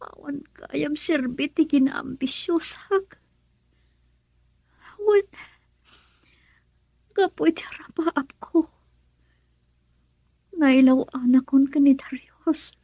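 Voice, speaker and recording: A woman acting a wronged, regretful young woman in a radio drama, breathy and pleading, with an Ilocano Filipino accent. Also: high-pitched, slow pace, long pauses, mellow, no echo, full character voice. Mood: tearful regret.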